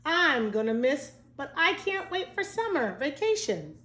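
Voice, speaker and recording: A woman's voice: high voice, sing-song, measured pace, fairly bright tone, pitched above the performer's own voice, heavily acted. A woman voicing eager, earnest young schoolchildren in a storybook read aloud. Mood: wistful, hopeful. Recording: echoey room.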